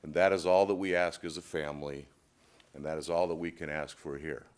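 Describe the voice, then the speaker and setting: A man solemnly reading a prepared statement in court with measured phrasing. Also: extremely low pitch, steady tone. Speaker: spokesman reading a family statement. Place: indoor courtroom.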